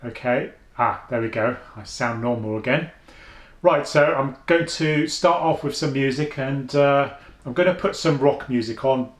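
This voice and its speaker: Deep-voiced man, echoey room. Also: unhurried. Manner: chatty, thinking aloud, with frequent restarts and fillers. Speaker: headphone reviewer. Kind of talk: casual product review vlog. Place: at home, indoors.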